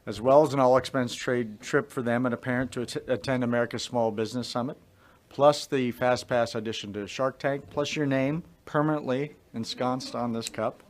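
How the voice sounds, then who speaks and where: A man's voice: medium pitch, steady tone, normal speed. A man making a live prize announcement as the award announcer.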